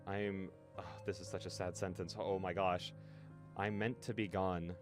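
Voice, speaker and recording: A man's voice: low-pitched, level tone, moderate pace. A man streaming a story game, reading narration aloud with feeling and reacting casually, with music behind him.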